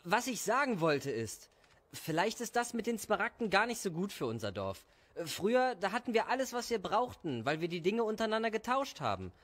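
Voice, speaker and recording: A man's voice: high-pitched, big pitch swings, fairly quick, a bit bright. A man playing a good-natured, hesitant village guard and young husband in an audio story. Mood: sheepish.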